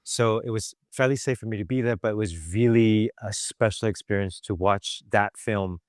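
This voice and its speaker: A young man from Malaysia, a visual artist answering quick interview questions in a chatty way with many fillers and little laughs. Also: fairly low pitch, dry recording, studio quality.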